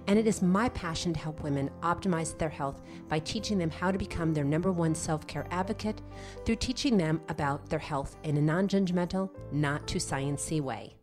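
Low-voiced older woman, congested. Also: normal speed, over music. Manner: chatty, encouraging, often tags "okay?". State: slightly nasal from a head cold. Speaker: podcast host, nurse practitioner. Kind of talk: solo health podcast talk.

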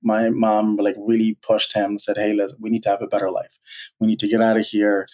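Man, low voice, flat delivery, earnest, halting, personal story. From Bangladesh. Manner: earnest, halting, many fillers and restarts. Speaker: guest, college alumnus.

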